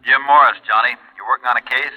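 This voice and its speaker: An actor playing a brisk, businesslike insurance company man in a 1950s radio drama. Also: medium pitch, fairly even intonation, background noise, lightly acted. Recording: dull vintage radio recording.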